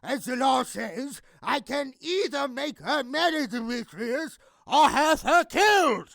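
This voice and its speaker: A man's voice: very high-pitched, lively intonation, slow, gravelly, a bit bright, dry recording, studio quality, full character voice. A man playing an outraged, controlling noble father in an audio drama, declaiming his complaints indignantly. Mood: demanding. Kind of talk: modernized Shakespeare audio drama acting.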